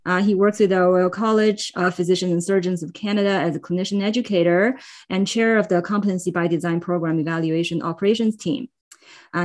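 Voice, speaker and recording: A woman hosting a webinar, reading out a speaker introduction in a halting, hesitant way over a video call microphone. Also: lowish voice, a little mellow.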